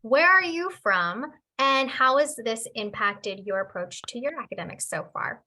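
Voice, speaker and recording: A woman's voice: fairly high pitch, expressive, normal speed, bright, forceful tone. A woman moderating a university webinar, introducing the students and asking them questions in a cheerful, upbeat way over a video call.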